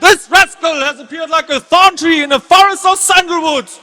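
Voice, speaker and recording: A man's voice: extremely high pitch, normal speed, sharp tone, raised voice. A man playing an unctuous, scornful religious teacher in a theatrical, declamatory stage reading. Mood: indignant. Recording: very reverberant hall.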